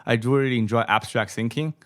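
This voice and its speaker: VC guest, man in his 30s, Mandarin Chinese accent, mid pitch, repeats words, keeps checking "right?". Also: fast, fairly bright tone. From China. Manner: tags sentences with "right?", many repeats. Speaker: venture capital guest. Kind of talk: casual podcast interview. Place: small studio room, brick wall. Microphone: studio mic on boom, headphones.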